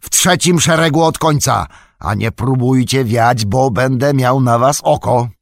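A man's voice: mid-range voice, a little slow, dry recording, studio quality, pitched above the performer's own voice, natural voice. A man voicing a huge, brutal orc slave-driver who barks orders, threats and taunts in a storybook reading. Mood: angry barking. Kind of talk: audiobook character voice.

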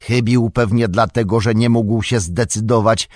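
A man's voice: lowish voice, fairly even intonation, normal speed, darkish tone, no room sound, clean studio sound, pitched above the performer's own voice, lightly acted. A man voicing a grim male warrior with a wry manner.

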